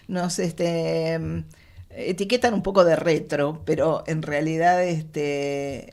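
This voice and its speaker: A woman with an Argentine accent speaking conversationally as a radio guest, pausing between phrases in a studio. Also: deep voice, darkish tone. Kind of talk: conversational interview.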